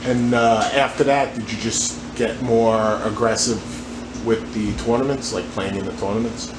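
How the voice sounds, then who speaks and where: A man's voice: lowish voice, fairly even intonation, moderate pace. A man interviewing a guest, asking short, laid-back questions and adding brief backchannels. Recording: roomy, noisy room.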